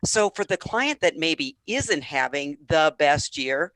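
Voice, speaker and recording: A woman's voice: sits low, sing-song, slow, a bit bright, dry recording. A woman speaking as an expert guest and sales coach, giving conversational advice on an online call.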